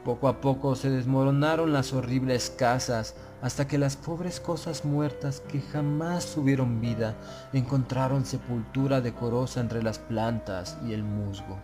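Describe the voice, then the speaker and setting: A man's voice: medium pitch, fairly even intonation, a little slow. Solemn male audiobook narrator over music. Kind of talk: gothic horror story narration in Spanish, first person. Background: background music.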